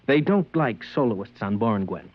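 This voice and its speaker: An actor playing a stern, dogmatic customs agent with a curt, preachy manner, in 1950s radio drama style. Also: male voice, lowish voice, expressive, moderate pace. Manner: curt, matter-of-fact, preachy. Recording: dry, dull old radio recording.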